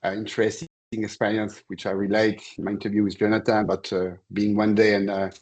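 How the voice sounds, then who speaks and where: A man in his sixties from Senegal, a commodity trader and interview guest, telling his career story in a measured, halting way over a video call. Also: on the low side, flat delivery, darkish tone. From born in Senegal. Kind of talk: conversational career account.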